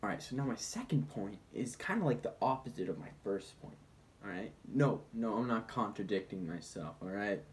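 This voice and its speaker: Male commentator, low-ish voice, loose comedic video-essay delivery with bantering, defensive asides. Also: animated, moderate pace, a little hiss or hum. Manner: informal, mock-defensive asides, conversational. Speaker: film commentator presenting points.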